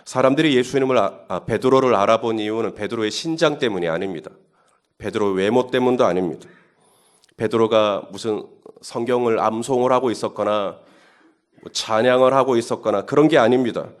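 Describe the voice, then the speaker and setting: A male pastor gives a sermon in a mid-pitched voice. He moves from easy, conversational explanation to rising, emphatic questions. His tone stays personal rather than formal. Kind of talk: sermon at early-morning Holy Week service.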